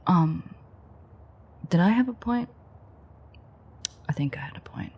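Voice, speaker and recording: A woman's voice: fairly low pitch, expressive, slow, darkish tone, heavily acted. A woman playing a playful, flirtatiously menacing ancient vampire in an intimate roleplay audio drama, murmuring wryly and often close to a whisper. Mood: wry, teasing. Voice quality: breathy, husky, often near-whisper. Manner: teasing, wry, murmured.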